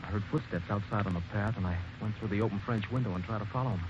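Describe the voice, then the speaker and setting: A man's voice: fairly low pitch, very fast, lightly acted. Stammering murder suspect, played by a man, 1940s radio, hissy dull recording. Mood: nervous. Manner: stammering and jittery. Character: nervous, defensive male former employee. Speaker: actor.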